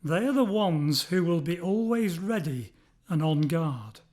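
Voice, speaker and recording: An authoritative God from a children's storybook, read by a man. The voice is fairly high and takes its time. Each instruction is measured and commanding. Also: lively intonation, darkish tone. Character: commanding, reassuring male God of a Bible story.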